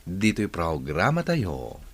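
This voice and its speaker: Deep-voiced, slow, swooping male radio announcer. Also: huge pitch swings. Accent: Ilocano. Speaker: radio drama host and announcer. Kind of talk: dramatic scripted radio introduction.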